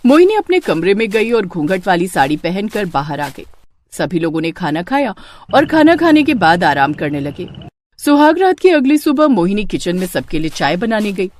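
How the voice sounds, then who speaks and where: A woman's voice: mid-range voice, big pitch swings, normal speed, darkish tone. A woman narrating a scripted moral tale as a storyteller.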